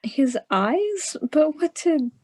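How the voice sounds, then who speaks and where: A woman playing a hard, sceptical female prisoner in a radio play, speaking in a measured, blunt, questioning way. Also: sits high, very animated, mellow, exaggerated voice. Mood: probing, sceptical. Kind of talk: theatrical radio play dialogue.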